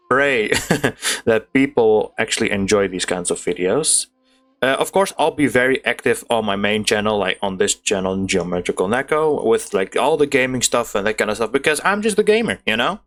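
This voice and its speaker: A man giving casual, excitable gameplay commentary, chatting to his viewers as a gaming YouTuber. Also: lowish voice, moderate pace. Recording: game music behind voice. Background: video game music.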